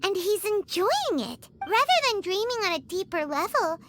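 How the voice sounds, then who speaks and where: A woman voicing a small, excitable, naive fairy companion in a game, with a squeaky childlike voice. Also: moderate pace, noisy background. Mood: puzzled, thoughtful. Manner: talks about herself in the third person. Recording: music playing behind.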